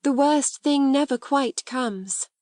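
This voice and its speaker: Storybook girl, acted by a woman narrator: imaginative, kind, high and breathlessly excitable, close dry sound. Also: slow, no echo. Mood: cheerful wonder. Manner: breathless, exclaiming.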